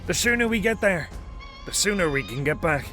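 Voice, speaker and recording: A man voicing an eager, courteous forest ranger in a fantasy audiobook, with a brisk and polite manner. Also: sits high, expressive, fairly bright tone, faint music behind, pitched above the performer's own voice. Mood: eager, businesslike.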